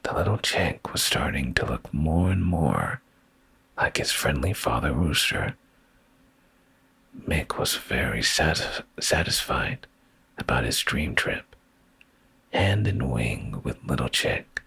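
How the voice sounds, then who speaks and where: Male ASMR bedtime reader, extremely low and breathy, slow, hushed, soothing delivery, very close and dry. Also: animated, no echo. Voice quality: deep, gravelly, breathy. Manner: hushed, soothing, often slipping into whispers. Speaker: ASMR streamer reading bedtime stories. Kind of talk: intimate boyfriend ASMR bedtime reading. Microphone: close microphone.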